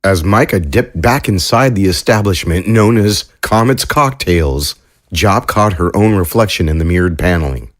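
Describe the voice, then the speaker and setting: A man narrating an audio drama in a steady storytelling delivery. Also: deep voice, normal speed.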